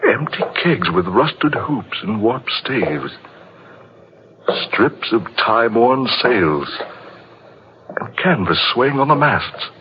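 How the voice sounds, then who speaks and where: Radio-play ship's officer, mid-pitched, commanding but spooked, dull hissy old recording. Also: male voice, big pitch swings, takes it slowly. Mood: hushed dread. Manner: brusque, dramatic, brooding. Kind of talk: old radio drama acting.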